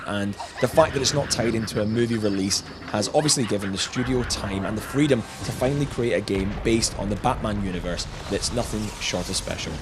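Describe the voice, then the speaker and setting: A man's voice: lowish voice, noisy background. A man narrating a scripted video game review with jokey, chuckling asides.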